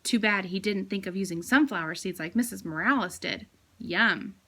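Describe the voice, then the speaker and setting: A woman's voice: medium pitch, moderate pace, bright, forceful tone. A woman voicing a small, eager male pet hamster who tells his adventures in a playful storybook manner.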